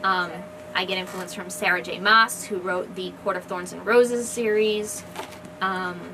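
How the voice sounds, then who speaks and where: A woman's voice: lowish voice, steady tone, a little slow. Woman chatting casually, noisy room. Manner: informal, enthusiastic, rambling. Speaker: fantasy author. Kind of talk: casual book discussion. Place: indoors in a bookstore. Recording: noisy room recording, background ambience.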